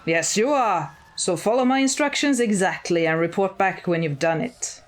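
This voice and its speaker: A woman voicing a stern, commanding male devil in an audio story, imperious and deliberate, over guitar music. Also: medium pitch, natural voice. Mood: cold command.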